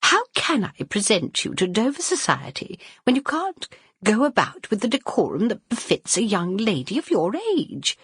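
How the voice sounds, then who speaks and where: A woman's voice: mid-range voice, huge pitch swings, moderate pace, hardly any pauses, fairly bright tone, husky, no room sound, studio quality, voice pushed up, full character voice. A woman voicing a stern, proper Victorian mother who speaks in a reproving, formal way.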